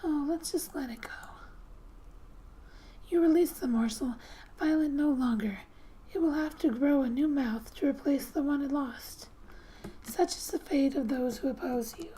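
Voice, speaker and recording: A woman speaking softly and breathily, often near a whisper, reading a game's story aloud in a gentle, unhurried ASMR style with casual asides. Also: high-pitched, a little hiss or hum, rough recording. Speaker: ASMR gamer and host.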